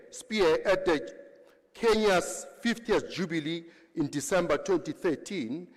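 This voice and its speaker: A man reading a formal honorary degree citation at a graduation, halting and restarting over names. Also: fairly high pitch. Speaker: ceremony presenter reading a citation. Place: large university great hall. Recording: long reverb of a large hall.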